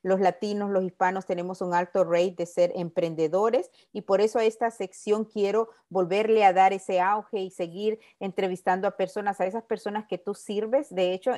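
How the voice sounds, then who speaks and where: A woman's voice: mid-range voice, mellow. A woman hosting a radio and podcast interview, upbeat and chatty, slipping English words into her Spanish. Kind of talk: conversational interview.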